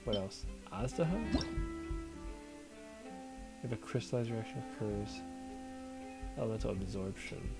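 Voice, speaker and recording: A man's voice: on the low side, sing-song, measured pace, gravelly, pauses often. A man streaming a card game, musing aloud over his moves and answering viewers in chat. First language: not Korean. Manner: talks to himself while playing, reads and answers chat. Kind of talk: casual live-stream commentary while gaming. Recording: music playing behind. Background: background music.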